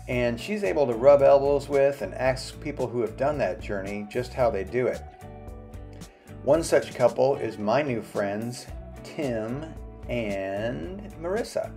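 Gravelly-voiced man narrating a motorcycle vlog. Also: mid-range voice. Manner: relaxed, chatty, friendly. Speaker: motorcycle travel vlogger narrating. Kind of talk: casual voice-over narration. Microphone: voice-over.

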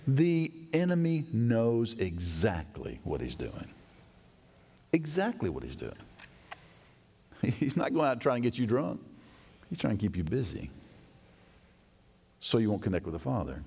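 A middle-aged man preaching a sermon as pastor in a large church sanctuary, animated, acting out hurried voices and dropping to a hush. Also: lowish voice, slightly rough. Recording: long church reverb.

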